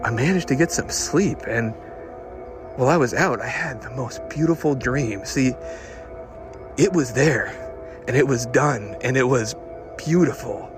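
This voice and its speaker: A man playing an excitable, obsessive car lover who records a rambling, stammering, eager audio diary. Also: mid-range voice, music in the background, natural voice. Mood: dreamy, obsessed. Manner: halting, stammering, breathlessly eager.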